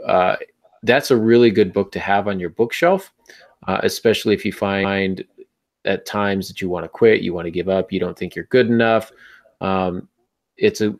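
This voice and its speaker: Guy podcast host, lowish creaky voice, chatty, kinda wry. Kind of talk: casual podcast talk about writing.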